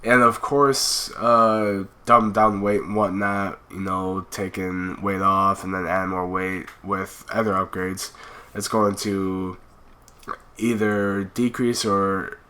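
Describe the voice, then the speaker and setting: A young man hosting a gaming channel talks through a driving session with a stuffy cold in his voice. He goes at an unhurried pace, his tone a touch low but bright and forward, loose and full of fillers. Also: little pitch movement, clean tone. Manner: laid-back, rambling with fillers. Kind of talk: casual game commentary.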